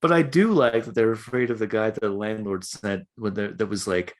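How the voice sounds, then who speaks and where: A man's voice: on the low side, fairly quick. A man co-hosting a podcast in casual comic banter, interjecting with skeptical riffs. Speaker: podcast co-host.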